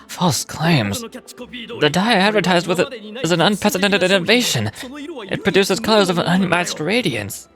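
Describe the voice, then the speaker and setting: An actor playing a scheming, pompous merchant villain in a video game, theatrical and self-serving, talking with indignant persuasion. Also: male voice, high-pitched, very animated, normal speed, over music.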